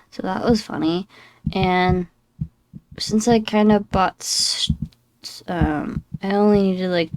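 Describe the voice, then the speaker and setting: A young woman chatting through a game session in a slow, unhurried drawl, her voice a little scratchy from just waking up. She sits somewhat low in pitch and sounds dry and close. She rambles, apologizes and pokes fun at herself. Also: darkish tone, no echo. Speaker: gaming channel host. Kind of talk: casual gameplay commentary.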